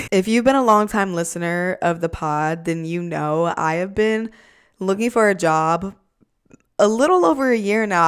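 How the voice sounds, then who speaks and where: A woman's voice: mid-range voice, clear, resonant tone. A young woman in her twenties hosting a podcast in casual chat, nasal and congested from a cold, talking close into a desk mic at home. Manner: chatty, lots of fillers and "like". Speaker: podcast host. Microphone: USB desk microphone up close.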